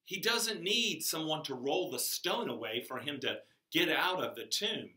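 Slow, emphatic older man preaching in a classroom. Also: fairly high pitch, lively intonation, bright, forceful tone. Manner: slow, emphatic, rising on key words, gesturing at a whiteboard. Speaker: chapel speaker. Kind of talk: children's chapel sermon. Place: classroom with a whiteboard, indoors. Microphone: camera across the room. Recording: echoey classroom from far off.

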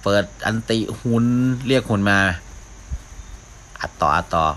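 A man hosts a gaming video with casual, off-the-cuff commentary. His voice is deep with a forceful, bright edge, and he mutters to himself as he plays. There is steady noise behind him. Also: unhurried. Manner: mouths sound effects, talks to himself while playing. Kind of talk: casual live gameplay commentary.

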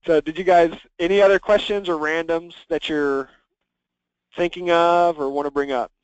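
A man leading a software training conference call, talking conversationally and instructively as he walks listeners through things. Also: on the high side, steady tone, moderate pace, smooth, no echo, highs cut off. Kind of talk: conversational screen-share walkthrough. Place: conference call with screen share.

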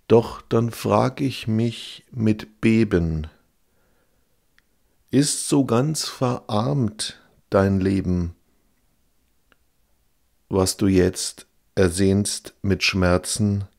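A man's voice: on the low side, very slow, vocal fry, pauses often, darkish tone. A man reciting a poem as a reader. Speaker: poetry reader. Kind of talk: slow poetry recitation.

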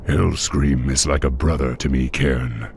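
An actor voicing a noble, earnest young orc war chief in a video game, with a deep gravelly voice and commanding manner. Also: male voice, steady tone, unhurried, background noise, exaggerated voice. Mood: somber.

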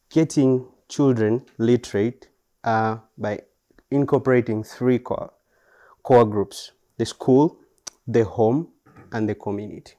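A man presenting his organization's work in a living room, steady and deliberate as he lists his points. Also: mid-range voice. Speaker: nonprofit speaker. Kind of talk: presentation to an audience. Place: living room with stone fireplace, indoors.

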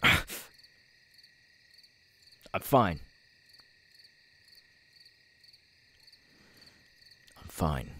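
A man acting a twitchy, unstable young man with tics in an ASMR roleplay, halting and stammering, menacing yet apologetic. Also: very deep voice, full character voice. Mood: agitated. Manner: halting, stammering, broken by sudden shouted outbursts. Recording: night crickets, steady background noise.

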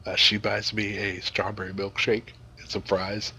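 A man's voice: fairly low pitch, completely flat delivery, normal speed, sharp tone, slight background noise. A man improvising a crude comic story to a group, swearing freely and rambling in a mock-serious way. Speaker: storyteller, host.

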